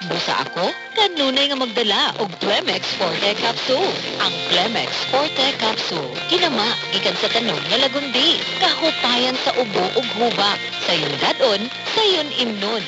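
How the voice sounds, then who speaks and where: A Filipino woman announces a radio ad with a very animated, swinging delivery. Her voice sits over loud music, and the sound is dull and lo-fi like an off-air radio recording. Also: on the high side, moderate pace. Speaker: advertisement announcer. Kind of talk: scripted radio ad read. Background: loud music bed.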